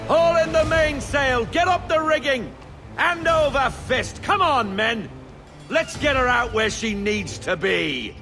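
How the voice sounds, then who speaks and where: An actor playing a jovial, salty middle-aged sea captain in a video game, with rollicking nautical talk. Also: male voice, very high voice, expressive, slow, over music, heavily acted. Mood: bellowing orders. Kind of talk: video game cutscene acting.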